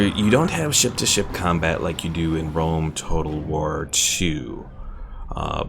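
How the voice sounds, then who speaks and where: Deep gravelly-voiced male streamer. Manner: relaxed, chatty, answers chat while playing. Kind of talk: casual gameplay commentary.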